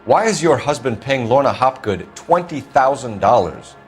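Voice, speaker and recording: A man's voice: mid-range voice, big pitch swings, normal speed, faint music behind, close to an everyday voice. A man playing a polite, pressing police detective. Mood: probing. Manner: polite but pressing, formal. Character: composed, probing male police detective.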